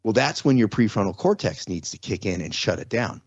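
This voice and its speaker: A man, talking things through in a relaxed, conversational way. He builds his explanations step by step with everyday examples. The sound is dry and a little dull, like a modest lo-fi setup. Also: on the low side, a little fast, no room sound. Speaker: podcast guest. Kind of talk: casual explanatory interview talk.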